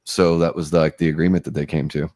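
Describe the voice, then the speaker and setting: A man's voice: rumbling low, little pitch movement, fast talker, a little mellow, no room sound, clean studio sound. A man in his forties, a show host, chatting casually and at length during a live movie watch-along, often laughing. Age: 40s. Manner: talkative, rambling, laughs often.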